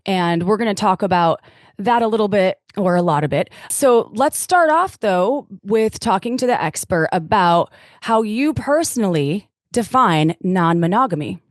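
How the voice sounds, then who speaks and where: Woman podcast co-host, encouraging, mid pitch, dry close mic. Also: animated, moderate pace, no echo. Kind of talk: casual podcast chat.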